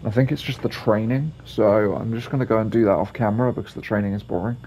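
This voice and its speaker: Pria bersuara rendah, santai mengomentari gim. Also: male voice, fairly low pitch, fairly even intonation, fast, a little mellow, a little hiss or hum. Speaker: gameplay video host. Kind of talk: casual game commentary.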